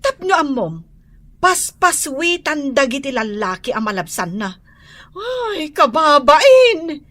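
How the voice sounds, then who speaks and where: A woman's voice: high voice, sing-song, slow pace, a bit bright, no room sound, exaggerated voice. An actress with an Ilocano accent playing a gossipy, scandalized village woman who exclaims and tuts in a comedy radio drama.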